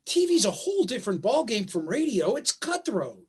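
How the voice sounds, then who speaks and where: A man playing a placating, apologetic husband and father with a husky voice in a staged play reading on a video call. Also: very high voice, very animated, moderate pace, a little mellow, exaggerated voice. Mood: worried, placating.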